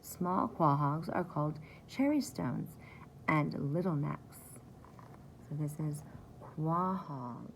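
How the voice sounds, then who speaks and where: A woman with a low, creaky voice reads a children's book at a very unhurried pace. She speaks like a preschool teacher at story time, spelling things out simply and repeating key words. Faint noise sits behind her. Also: expressive, low-quality recording. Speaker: pre-K teacher reading aloud.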